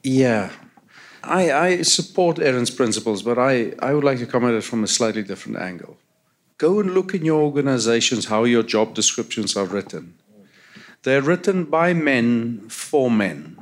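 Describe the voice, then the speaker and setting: A man's voice: mid-range voice, big pitch swings, moderate pace. A man with a South African accent, speaking earnestly as a transit executive on a conference panel, often repeating words as he thinks. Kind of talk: panel discussion.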